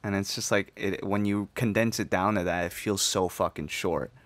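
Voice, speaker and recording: A man's voice: low-pitched, steady tone, moderate pace, a bit bright, no room sound. A young man of about twenty chatting casually, rambling and swearing for emphasis as he makes his points. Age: 19, turning twenty. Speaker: podcast host. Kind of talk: casual chat.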